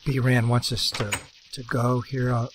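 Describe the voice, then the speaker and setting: A man hosting a casual game commentary, rambling and halting with lots of fillers and restarts, with a voice that is going hoarse. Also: medium pitch, monotone, noisy background. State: losing his voice. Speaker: let's play host.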